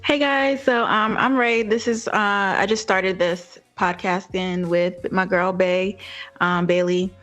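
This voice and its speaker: A woman's voice: mid-range voice, fairly even intonation, unhurried, a bit bright. Guest co-host: American female, 30s, informal chat delivery, light music bed underneath. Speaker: podcast co-host appearing as guest, military servicewoman. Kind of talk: casual chat.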